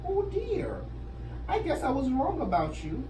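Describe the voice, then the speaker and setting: A woman reading as a kindly old lady, lilting and bright. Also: high-pitched, huge pitch swings, unhurried, pitched above the performer's own voice, heavily acted. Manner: bright, gently chiding. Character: plump, sweet, kindly old woman healer. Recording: roomy, noisy, distant microphone.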